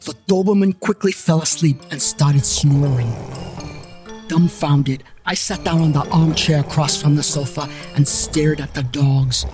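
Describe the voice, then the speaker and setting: A man's voice: fairly high pitch, fairly even intonation, measured pace, a little mellow, soft background music. A man reading a scripted first-person story as its storyteller.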